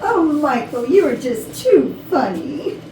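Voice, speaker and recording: A woman acts out a sweet, flirty boss's wife with a high, lively voice and a plaintive streak. It is a staged comedy reading, heard at a distance in an echoey room. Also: slow pace, full character voice. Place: rehearsal room. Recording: echoey room, distant mic, faint noise.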